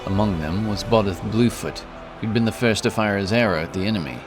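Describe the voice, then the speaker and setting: Male narrator for fantasy audiobook, very low voice, music underneath. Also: a little fast. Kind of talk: scripted fantasy story narration. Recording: music behind the voice.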